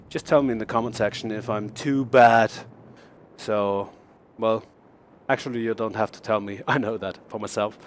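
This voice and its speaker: A young German man with a German accent, speaking English as a second language, casually showing off his build in a game. He talks haltingly, with many fillers and repeated words. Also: medium pitch, slight background noise. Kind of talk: casual game showcase commentary.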